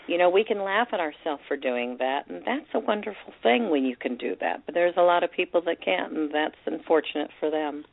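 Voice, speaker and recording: A woman's voice: on the low side, expressive, brisk, creaky, hardly any pauses. A woman in her fifties chatting casually on a call-in show, laughing often as she tells stories. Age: 50s. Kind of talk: casual phone-in chat. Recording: tinny phone line.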